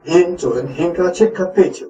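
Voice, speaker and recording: A man's voice: high voice, moderate pace, dark tone. A man with a Taiwanese accent teaching language off the cuff, explaining and repeating words and phrases for a learner. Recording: roomy, distant mic with faint noise.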